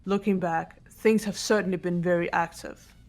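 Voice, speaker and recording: A woman's voice: lowish voice, fairly even intonation, moderate pace, darkish tone, faint music behind, close to an everyday voice. Woman playing a composed, serious girl detective. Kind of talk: dramatic game dialogue and recap narration.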